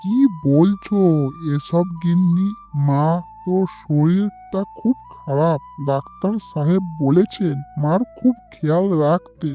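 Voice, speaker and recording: A woman's voice: lowish voice, takes it slowly, warm tone. A woman voicing a meek, worried husband and devoted son in a melodramatic cartoon, pleading with concern. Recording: dull sound, music underneath.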